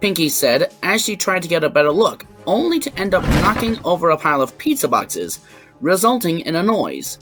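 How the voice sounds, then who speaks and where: A woman's voice: on the low side, very animated, normal speed, a bit bright. A woman narrating a scripted fan fiction story. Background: background music.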